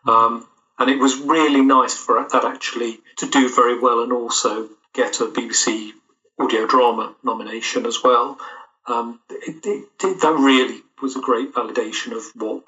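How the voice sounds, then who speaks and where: A man's voice: medium pitch, fairly even intonation, normal speed, bright, forceful tone. A middle-aged man giving thoughtful, tentative answers as a guest in a casual interview. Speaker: audio drama producer, interview guest. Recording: dull, lo-fi call-like sound.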